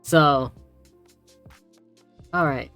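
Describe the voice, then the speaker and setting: Woman chatting hesitantly in a mid-low register. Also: drawn-out words, a bit bright, soft background music. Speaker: tutorial co-host, learner. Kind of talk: casual chat, tutorial recap.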